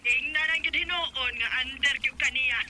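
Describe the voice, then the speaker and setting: A nagging Cebuano mother-in-law played by a woman in a radio drama. Her voice is very high, her manner defensive and scolding, and the recording is thick with noise. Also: normal speed, lightly acted. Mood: scornful. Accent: Filipino, Cebuano. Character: nagging, accusing mother-in-law, mother of a grown son. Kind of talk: Bisaya radio drama acting.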